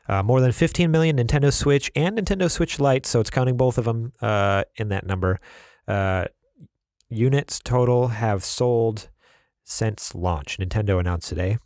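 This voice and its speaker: A man's voice: fairly low pitch, darkish tone, no echo, clean studio sound. A man co-hosting a gaming podcast, chatting casually and reading news stories aloud with his own asides. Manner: laid-back, reads stories aloud with asides. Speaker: podcast co-host.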